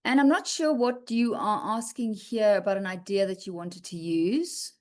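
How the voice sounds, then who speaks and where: A woman hosts a live writing feedback session over a video call, with a voice of middling pitch. She talks casually and reassuringly, reads out comments, and keeps checking in with "okay?". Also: normal speed. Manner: chatty, reassuring, frequent "um" and "okay?" check-ins. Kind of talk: casual live chat reading and answering comments.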